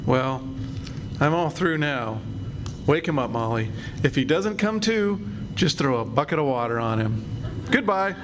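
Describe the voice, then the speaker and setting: A man's voice: medium pitch, expressive, measured pace, pauses often, close to an everyday voice. A man playing a breezy, self-assured friend and amateur hypnotist in an old-time radio comedy. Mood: brisk. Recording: noisy live stage recording.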